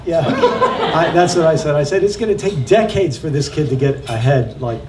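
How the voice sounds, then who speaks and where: A middle-aged man moderating a panel, halting and self-deprecating, with many fillers. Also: a little high. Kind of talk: panel discussion. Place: crowded covered outdoor pavilion. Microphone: stand mic, off-mic at times. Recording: roomy, distant, noisy crowd room.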